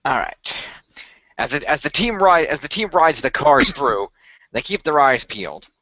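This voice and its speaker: A man performing and narrating a semi-improvised radio play in a measured, explanatory way with formal phrasing. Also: a little high, very animated, sharp tone, no echo. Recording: dry, dull lo-fi microphone.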